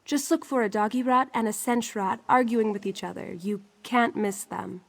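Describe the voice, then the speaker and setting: A woman's voice: mid-range voice, steady tone, moderate pace, creaky. A woman playing an earnest, spirited young general's daughter in a fantasy game. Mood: friendly, wry. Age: young adult. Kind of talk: fantasy video game dialogue.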